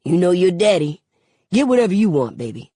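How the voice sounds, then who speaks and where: A woman voicing a gruff but affectionate father who runs a store, speaking in casual, brief slang. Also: on the low side, sing-song, fairly quick, dark tone, no room sound, clean studio sound.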